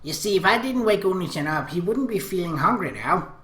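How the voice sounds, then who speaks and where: A man's voice: high voice, animated, brisk, a bit bright, voice pushed up. A man voicing a bratty, clingy younger sister in an anime visual novel, whiny and demanding. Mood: smug, teasing. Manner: whiny, demanding, refers to self by name. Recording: roomy echo.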